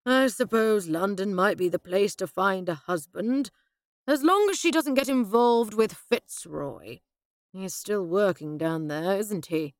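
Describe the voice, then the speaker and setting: A woman voicing a fussy, older upper-class English gentleman who blusters and hesitates in old-fashioned phrasing. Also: mid-range voice, expressive, unhurried, no room sound, natural voice. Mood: gloomy.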